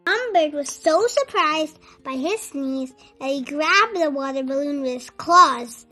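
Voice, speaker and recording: High, bright-voiced woman reading a storybook. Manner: animated, deliberate, enunciated storytelling. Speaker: storyteller reading a children's book aloud. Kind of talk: expressive read-aloud for young children. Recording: music behind the voice. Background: music.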